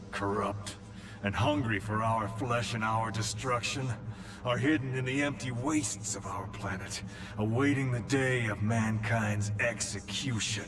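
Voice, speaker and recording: A male actor playing a grave, solemn narrator in a horror game, speaking in measured, portentous phrases. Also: lowish voice, slight background noise, close to an everyday voice. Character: grave male narrator warning of ancient evil. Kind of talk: solemn scripted cinematic narration. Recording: reverberant voice over loud music.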